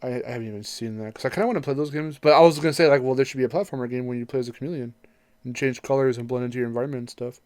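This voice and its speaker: A man's voice: mid-range voice, fairly even intonation, very fast, darkish tone, no room sound. A man co-hosting a podcast, chatting casually about video games in a playful, joking way. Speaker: podcast co-host.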